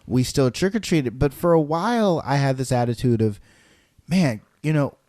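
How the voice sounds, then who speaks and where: A man's voice: medium pitch, animated, moderate pace, darkish tone, dry recording, studio quality. A man co-hosting a podcast, telling stories casually and often repeating words as he goes. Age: adult. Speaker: podcast co-host. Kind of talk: casual conversational storytelling.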